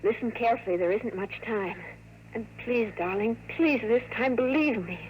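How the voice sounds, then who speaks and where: High-voiced earnest radio heroine played by a woman. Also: normal speed, a little mellow, natural voice. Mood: urgent explaining. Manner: urgent, emotional, beseeching. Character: earnest, pleading woman agent in love with the hero. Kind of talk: 1950s radio drama acting. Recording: noisy vintage radio recording.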